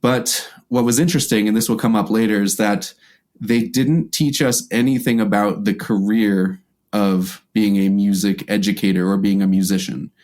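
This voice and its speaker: American male interview guest, casual storyteller with a slightly low voice. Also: steady tone, moderate pace, darkish tone. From United States. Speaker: podcast guest, violinist and music teacher.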